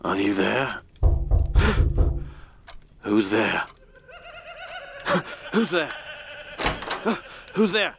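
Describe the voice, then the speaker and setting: An actor playing a sardonic, jumpy grave robber in an audio drama, swinging from muttered asides to startled exclamations. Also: male voice, mid-range voice, very animated, extremely slow, pauses often, background noise, exaggerated voice. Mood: jumpy, frightened.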